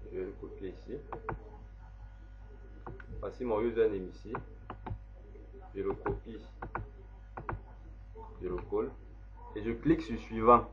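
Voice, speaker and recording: A man, slowly walking through a software setup step by step as an instructor. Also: fairly low pitch, background noise. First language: French. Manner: slow, step-by-step explaining. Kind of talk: screen-recorded software tutorial. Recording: dull lo-fi voice recording.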